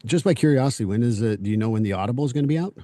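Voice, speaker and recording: A man with a French Canadian accent, a native French speaker, hosting a casual podcast interview and talking bluntly, even swearing. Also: medium pitch, racing, no room sound, studio quality. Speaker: podcast host.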